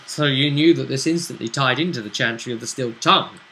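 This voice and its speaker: A man's voice: medium pitch, fairly quick, pushed and bright, low-quality recording. A man acting as game master, casually recapping a tabletop role-play session and checking in with his player as he goes. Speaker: game master and host of the vidcast. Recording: faint background noise.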